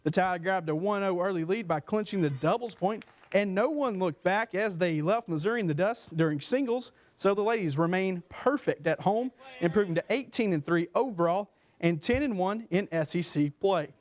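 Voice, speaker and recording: A man's voice: high-pitched, normal speed. A young man reading a scripted sports newscast as a TV anchor. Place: small TV news studio. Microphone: lapel mic.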